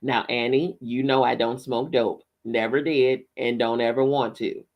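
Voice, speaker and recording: A man reads the part of a middle-aged small-town husband from a storybook. His voice is creaky and mid-pitched, his manner casual, wry and a bit evasive. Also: little pitch movement, unhurried, muffled recording, lightly acted. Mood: placating.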